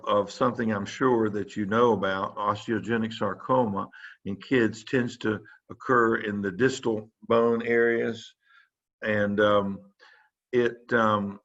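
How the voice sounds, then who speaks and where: A man's voice: lowish voice, a bit bright. A man, giving an informal lecture to students as a physician, rambling with many fillers and restarts. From South Carolina. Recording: muffled, dull online lecture audio.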